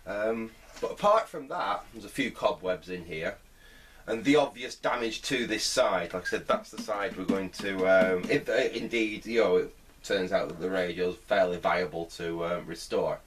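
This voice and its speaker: Middle-aged male restorer, low-pitched voice, informal workbench commentary, thinks aloud with hesitation. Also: normal speed, a little hiss or hum. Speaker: vintage radio restorer, presenter. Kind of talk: casual hands-on repair commentary. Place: indoor workbench.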